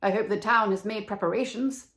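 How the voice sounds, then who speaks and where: A woman's voice: medium pitch, steady tone, brisk, fairly bright tone, natural voice. Woman voicing a self-important little male swallow. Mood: self-satisfied. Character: small male swallow, romantic, blunt and self-assured. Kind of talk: storybook character voice.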